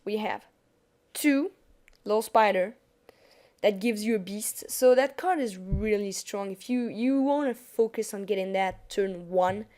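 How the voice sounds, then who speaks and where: A woman's voice: mid-range voice, measured pace, darkish tone. A young woman with a French accent, chatting casually as she narrates a card game on screen, often restarting her sentences. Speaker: gaming YouTuber. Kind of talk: casual gameplay commentary over screen capture.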